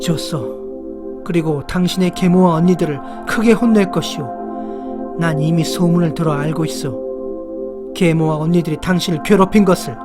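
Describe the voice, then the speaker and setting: High-toned, courtly young prince played by a man. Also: moderate pace, music in the background, natural voice. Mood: stern. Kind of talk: acted storybook dialogue.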